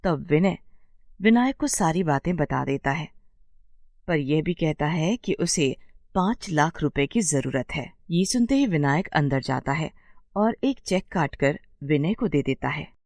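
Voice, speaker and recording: Female storyteller for scripted bedtime tales: lively, varied intonation, dry close recording. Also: low voice, a little slow, no echo.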